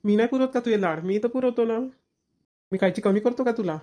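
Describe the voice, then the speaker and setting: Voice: mid-range voice, brisk, dark tone, close to an everyday voice. A performer voicing an easygoing young village husband in a family cartoon, homely and conversational. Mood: mildly teasing.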